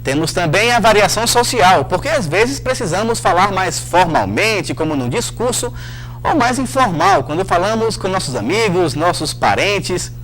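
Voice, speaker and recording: A man's voice: sits high, sing-song, normal speed, fairly bright tone, a little hiss or hum, poor audio. A man hosting a televised lesson, narrating a script in an upbeat way and talking straight to the viewer. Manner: upbeat, addresses the viewer directly.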